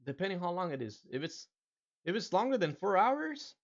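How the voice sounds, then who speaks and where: A man hosting a gaming reaction video, excitedly commenting over clips and calling everyone "dude". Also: sits high, fairly quick, dull audio. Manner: excitable, talks over the clip, says "dude" often. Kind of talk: casual live reaction commentary.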